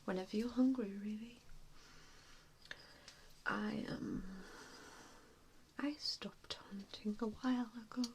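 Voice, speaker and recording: A woman whispering an ASMR roleplay as a gentle vampire mentor, with a hesitant, reassuring and softly spoken manner. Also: mid-range voice, fairly even intonation, takes it slowly, pauses often, slight background noise. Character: gentle, self-doubting female vampire mentor to new recruits.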